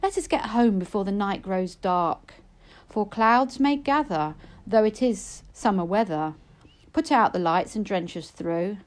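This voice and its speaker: A woman's voice: mid-range voice, expressive, measured pace, lightly acted. A woman voicing an anxious young maiden who urges her sister on with caution in a narrative poem. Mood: anxious pleading. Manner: urging, cautious.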